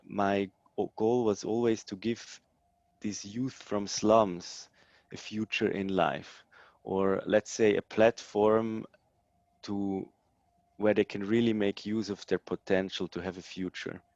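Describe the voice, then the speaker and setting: A man in his thirties from Switzerland, answering interview questions in a hesitant way with many pauses and ums. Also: on the low side, a little mellow, no room sound, highs cut off. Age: 30s. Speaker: social entrepreneur guest.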